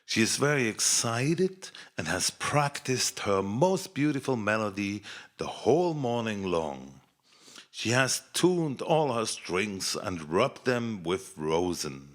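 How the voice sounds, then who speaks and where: Slow, expressive low-voiced male storyteller. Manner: expressive, measured children's storytelling. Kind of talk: scripted fairy-tale narration.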